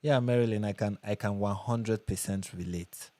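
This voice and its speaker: A man's voice: lowish voice, moderate pace, mellow, dry recording. Nigerian man chatting on a livestream. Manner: chatty, repeats phrases, frequent restarts. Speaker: livestream host. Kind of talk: casual livestream chat reading comments.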